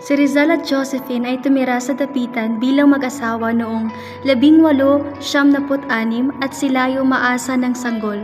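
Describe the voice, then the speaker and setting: A woman's voice: sits high, fairly even intonation, normal speed, a little mellow. A woman giving scripted narration for an amateur Tagalog radio drama. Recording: reverberant hall, music behind.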